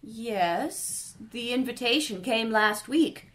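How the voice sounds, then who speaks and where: In an old-time radio play, a woman plays a nervous young society lady whose slightly high voice rises and falls in lively, anxious swings. She questions and pleads with her companion. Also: takes it slowly. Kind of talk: 1930s radio drama reenactment.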